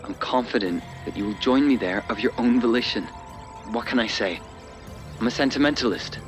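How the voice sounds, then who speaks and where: A man's voice: mid-range voice, soft background music, lightly acted. A man playing an entitled, powerful suitor in a video game cutscene, speaking formally and condescendingly with menacing politeness. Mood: smug persuasion. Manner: formal, measured, condescending, self-assured.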